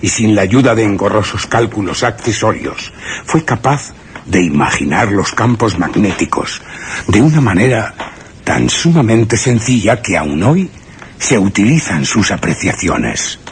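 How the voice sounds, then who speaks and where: Crazed genius with wildly swinging pitch. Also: male voice, very animated, a little slow, a little hiss or hum. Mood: exalted, fervent. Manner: grandiose, rhetorical, swinging emphasis. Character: crazed genius friend, gaunt and triumphant, lecturing obsessively. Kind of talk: Spanish radio suspense drama acting.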